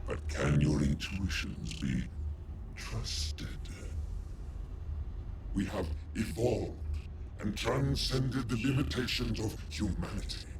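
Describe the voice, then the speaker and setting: A menacing hive-mind machine from a video game. The delivery is slow and grandly ominous, with big swings of pitch and a gravelly creak. It is full of haughty contempt. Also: male voice, animated, noisy background. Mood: menacing, solemn. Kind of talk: video game cutscene acting. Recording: loud music over the voice.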